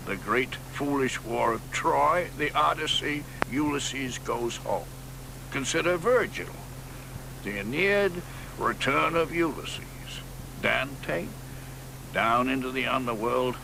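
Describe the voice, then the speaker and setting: Brief: an old poet, gravelly, slow, sardonic, radio play with background noise. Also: male voice, medium pitch. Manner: slow, gravelly, dry muttered asides. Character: aging poet Ezra Pound, bitter and sardonic. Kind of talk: radio drama acting.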